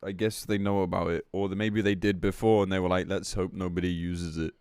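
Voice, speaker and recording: A man with a fairly low voice talks as a live streamer. His delivery is casual and blunt, with an exasperated edge and loose swearing. The sound is close and dry, with very little room in it. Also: level tone, brisk, a little mellow, smooth, no room sound. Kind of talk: casual live-stream commentary with read-aloud announcement.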